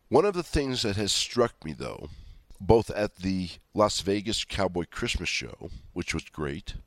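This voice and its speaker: A man's voice: low voice, no echo. A middle-aged man hosting a talk radio show, stuffed up from a cold, talking in a folksy, rambling way with chatty asides. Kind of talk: conservative talk radio monologue.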